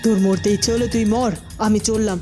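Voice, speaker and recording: A woman's voice: on the high side, fairly even intonation, fast talker, music in the background, close to an everyday voice. A woman playing a queen's fed-up companion in a cartoon folk tale, complaining in a plaintive, scolding way. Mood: defiant.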